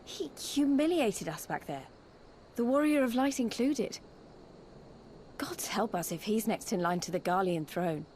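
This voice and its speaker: A woman's voice: medium pitch, lively intonation, a little slow, a little mellow, a little hiss or hum, natural voice. A woman voicing an earnest young resistance fighter. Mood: shaken. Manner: earnest, impassioned. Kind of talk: game cutscene voice acting. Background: orchestral game score.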